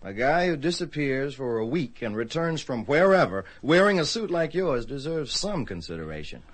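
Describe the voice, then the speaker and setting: A man's voice: mid-range voice, sing-song, normal speed. A man playing a skeptical, exasperated buddy in a radio drama, emphatic and sputtering.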